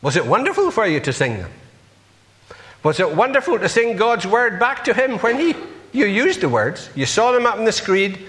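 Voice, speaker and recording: A man's voice: high-pitched, sing-song, normal speed, a bit bright, long reverb tail. A man preaching a sermon, earnestly putting rhetorical questions to his congregation.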